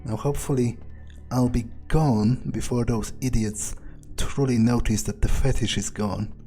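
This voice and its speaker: A man talks himself through a video game in character, at a slow, deliberate pace. His voice is dark and relaxed, muttered like private thoughts with the odd swear word, over game music. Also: mid-range voice. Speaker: gamer doing an in-character playthrough. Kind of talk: hushed thinking-aloud game commentary in character.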